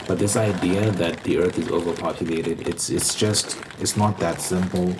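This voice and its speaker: A man giving an explanatory ASMR ramble in a halting way, often repeating words and phrases. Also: low voice, fairly even intonation. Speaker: ASMR video host. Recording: noticeable background noise.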